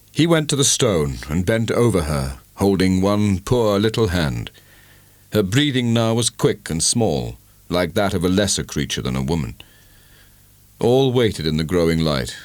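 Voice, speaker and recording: A man narrating a literary audiobook in a measured, dramatic storytelling manner. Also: deep voice, dry recording.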